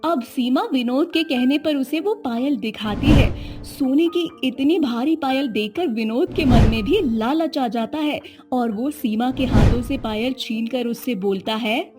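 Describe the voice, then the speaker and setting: A woman's voice: high voice, unhurried, few pauses, over music. A woman reading scripted narration as a storyteller.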